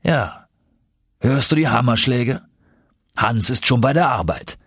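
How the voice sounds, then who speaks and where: A man voicing a confident, eager older professor for an audiobook, husky and emphatic, as if lecturing. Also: medium pitch, animated, a little slow, fairly bright tone, no room sound, studio quality, exaggerated voice. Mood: assured.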